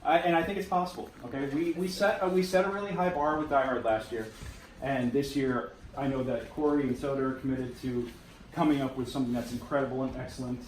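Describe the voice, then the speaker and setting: A man's voice: mid-range voice, steady tone, quick pace, darkish tone. Man addressing a group, echoey room. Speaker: theatre company playwright and director. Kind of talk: informal talk to a cast. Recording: echoey room, distant mic, faint noise.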